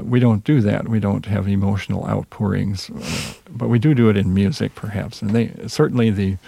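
A man with a Minnesota accent, a jazz musician answering interview questions in a reserved, hesitant way with many pauses. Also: on the low side, gravelly, warm tone, slightly rough, no echo. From Minnesota, USA.

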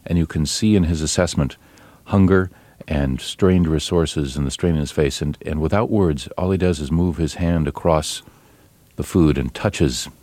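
A man interviewing a guest, asking measured questions with some fillers. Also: extremely low pitch, darkish tone, no room sound.

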